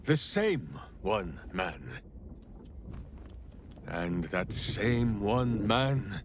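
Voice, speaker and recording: A man's voice: fairly low pitch, expressive, extremely slow, noisy background. A man playing a big-hearted, simple warrior ranger in a video game, bombastic and oddly formal. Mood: solemn confession. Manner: bombastic, refers to himself in third person, oddly formal phrasing. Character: big-hearted, simple heroic ranger warrior who talks through his hamster. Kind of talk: theatrical video game character acting. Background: background music.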